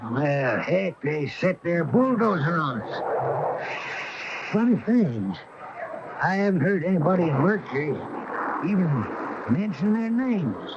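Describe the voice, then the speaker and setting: A man's voice: on the high side, big pitch swings, measured pace, long pauses. An actor playing a nosy, plain-spoken small-town tradesman with a folksy, musing manner in a radio mystery drama. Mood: musing, curious. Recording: dull noisy old AM radio tape.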